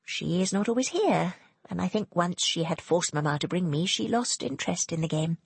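A woman narrator acts a young society lady in a period romance. She is mild, frank and polite, with a faintly husky tone in a dry recording. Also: on the low side, moderate pace, no room sound, full character voice. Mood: candid, matter-of-fact. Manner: polite, even-tempered.

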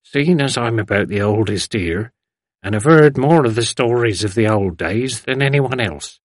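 A man's voice: mid-range voice, animated, moderate pace, no echo, natural voice. A man voicing a courteous, solemn old villager from a novel with a folksy way of talking.

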